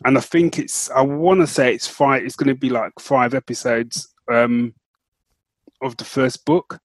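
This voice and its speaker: A man's voice: medium pitch, fairly even intonation, unhurried, no echo. A man co-hosting a podcast, chatting casually and informally, repeating himself for emphasis. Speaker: podcast co-host. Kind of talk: casual chat about film and book news.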